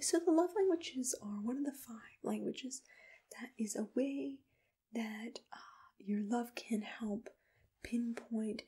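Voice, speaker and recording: A woman softly whispering in a gentle, reassuring, patient way, asking questions as a matchmaker in an ASMR roleplay, close to the microphone. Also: fairly high pitch. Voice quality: soft breathy whisper. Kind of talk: ASMR personal-attention roleplay, unscripted interview questions.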